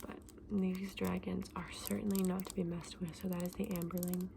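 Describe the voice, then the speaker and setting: A woman playing a friendly, candid dragon egg seller in a whispered, soft-spoken ASMR roleplay, explaining things with small hesitations. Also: lowish voice, flat delivery, moderate pace, gravelly, noisy background. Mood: cautioning.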